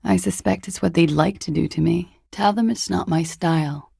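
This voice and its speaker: An actress playing a cool, guarded young woman in a video game, with a husky, breathy, gravelly voice and a languid, dryly understated delivery. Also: lowish voice, moderate pace, mellow, no room sound, exaggerated voice. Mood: guarded, uneasy. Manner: languid, dry, wryly understated. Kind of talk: video game character dialogue.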